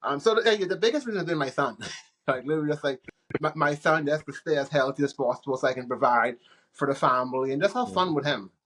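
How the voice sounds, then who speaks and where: Casting: man from the Bahamas, 30s, easygoing guest, slightly high voice, loose rambling delivery. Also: fast. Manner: relaxed, rambling, frequent restarts and laughs. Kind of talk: casual interview chat.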